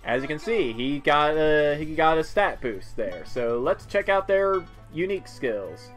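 A man's voice: fairly high pitch, lively intonation, measured pace, fairly bright tone. A man giving casual, offhand video game commentary with wry asides. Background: game music.

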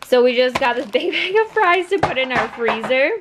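A woman in her thirties talks to viewers in a casual, conversational ramble. Her voice sits a little high. She is recorded at home on a camera, with clear noise behind her. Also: animated, normal speed. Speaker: vlogger. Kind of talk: casual chatty haul video talk. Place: indoors at home by a wooden table.

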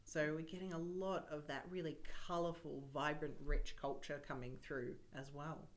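Woman, 30s, low pitch; effusive interviewer. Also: fairly even intonation, normal speed. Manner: effusive, gushing praise with many fillers. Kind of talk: friendly interview. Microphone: table mic on a stand.

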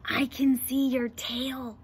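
A woman's voice: sits high, little pitch movement, slow pace, slight background noise, pitched above the performer's own voice. A woman voicing a bossy, unimpressed male lion who teaches lessons, with curt, dry lines. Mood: impatient.

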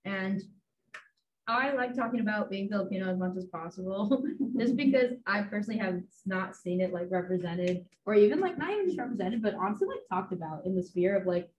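A woman's voice: medium pitch, animated, a little fast, slight background noise. A young woman from the Los Angeles area hosting a casual panel, chatty with lots of fillers and easy laughs. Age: young adult. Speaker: panel host and student staffer. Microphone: video call. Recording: dull video call audio.

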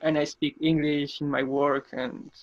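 A young Polish-accented man joins over a video call, speaking halting English with fillers and laughing easily. His voice sits a bit higher than most men's and the sound is dull and lo-fi but dry. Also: flat delivery, no room sound. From Poland. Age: young adult. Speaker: podcast guest. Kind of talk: casual chat.

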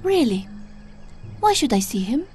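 An actress playing a poised, proud professor with a romantic streak in a video game, speaking. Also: female voice, fairly high pitch, very animated, a little slow, darkish tone, soft background music. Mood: intrigued, smitten. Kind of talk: video game cutscene acting.

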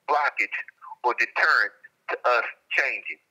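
Male interview guest over telephone, strikingly sharp tone, mid pitch, explanatory and emphatic with repeated phrases. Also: measured pace. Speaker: interviewed guest, prison inmate calling. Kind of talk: reflective, explanatory conversation. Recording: telephone line from prison.

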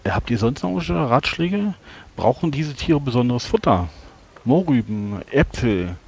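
A man's voice: mid-range voice, big pitch swings, moderate pace, vocal fry, a little mellow, slightly rough. A man playing a tabletop role-playing game, speaking in character politely and hesitantly. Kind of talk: improvised in-character game talk.

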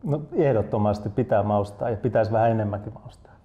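A man's voice: fairly low pitch, narrow, tinny audio. A middle-aged man giving answers in an interview as a coach, seated indoors. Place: indoor lounge.

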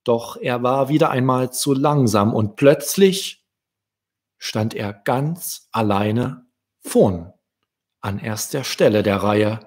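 A young man from Germany telling stories to children in a deliberate manner, as an author hosting his readings. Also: mid-range voice, slightly rough. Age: young adult. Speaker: children's author, channel host. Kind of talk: storytelling for children. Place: small indoor room. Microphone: close desk microphone with pop shield.

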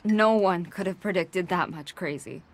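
A woman's voice: lowish voice, normal speed, lightly acted. An actress playing a brash, confident young woman in a gang of friends in a video game cutscene. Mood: cocky, reassuring.

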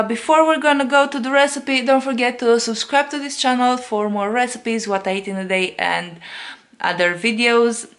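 A woman's voice: medium pitch, a bit bright. A woman in her thirties talking casually as a cooking vlogger, recorded indoors at home by a camera a short distance away. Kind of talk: casual recipe vlog.

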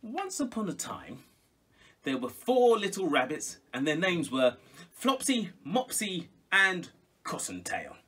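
Very animated male children's storyteller. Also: high-pitched, slightly rough. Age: middle-aged. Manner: expressive, theatrical, with dramatic pauses and exclamations. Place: small music room at home, indoors.

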